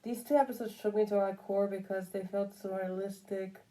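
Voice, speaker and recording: A woman's voice: medium pitch, fairly even intonation, moderate pace, mellow. A woman giving casual, unscripted opinion commentary, rambling and repeating phrases for emphasis. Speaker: commentary video creator.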